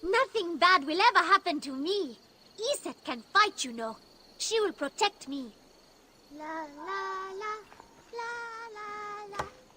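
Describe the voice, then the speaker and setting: A woman's voice: extremely high pitch, expressive, slow, pushed and bright, slight background noise, exaggerated voice. A woman voicing a carefree, trusting and fearless little Egyptian girl in a video game cutscene. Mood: carefree singsong. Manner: innocent, playful.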